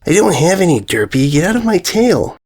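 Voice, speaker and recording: A man's voice: sits high, big pitch swings, a little fast, darkish tone, husky, voice pushed up, heavily acted. A man voicing a cocky young pegasus filly in a storybook, snappy and defiant.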